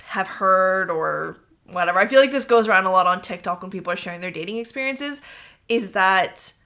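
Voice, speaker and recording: A woman's voice: medium pitch, lively intonation, brisk, bright, forceful tone. A young woman hosting her own podcast, chatting casually and rambling in an upbeat way. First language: English. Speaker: podcast host. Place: warm apartment room.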